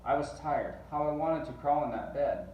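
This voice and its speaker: A man reading a children's storybook aloud in rhythmic verse with animated delivery. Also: fairly high pitch, moderate pace. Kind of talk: expressive storybook read-aloud. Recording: distant mic, roomy echo, faint noise.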